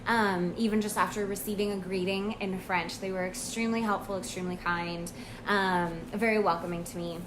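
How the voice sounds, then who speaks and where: A woman's voice: medium pitch, fairly even intonation. Woman, American, language coach, casual monologue, earnest, reflective, some hiss in the recording. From United States. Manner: earnest, reflective, with pauses and fillers.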